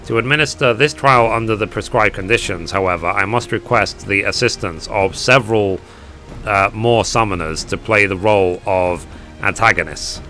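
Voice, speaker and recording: A man's voice: fairly low pitch, normal speed, bright, forceful tone, faint music behind. A man reading as a formal magic examiner. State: hoarse.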